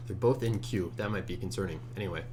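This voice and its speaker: A man with a low morning voice, muttering to himself as he gives casual think-aloud commentary while coding, often trailing off mid-thought. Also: quick pace. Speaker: competitive programmer narrating a screencast. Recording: steady hum and keyboard typing noise. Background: computer keyboard typing, hum.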